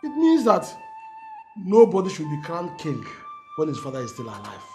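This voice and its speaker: A man's voice: fairly high pitch, huge pitch swings, normal speed, darkish tone, soft background music. An actor playing a formal, self-serving man who addresses village elders and claims the crown. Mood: scheming. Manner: ceremonial, formal speechmaking.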